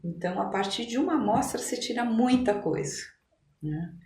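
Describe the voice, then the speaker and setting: A middle-aged woman talks conversationally as an interview guest, explaining things with pauses to search for words. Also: a little high, expressive, a little mellow. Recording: echoey room, clear background noise.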